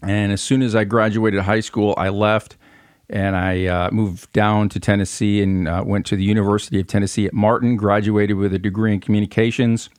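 This is a middle-aged man from Michigan with a fairly low voice. He talks casually and a little haltingly as a podcast guest. The recording is dry, without room sound. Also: little pitch movement, no echo. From Michigan, United States. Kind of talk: casual conversational answers.

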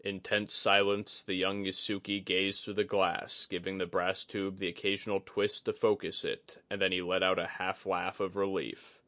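A man's voice: sits low, flat delivery, normal speed, few pauses, pushed and bright, dry recording. A man reading a scripted fantasy story as an audiobook narrator.

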